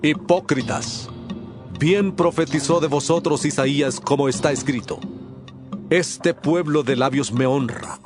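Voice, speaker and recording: An actor playing an authoritative male teacher in a dramatized scripture reading, solemn and deliberate. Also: fairly high pitch, lightly acted. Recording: clear music bed under voice. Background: music.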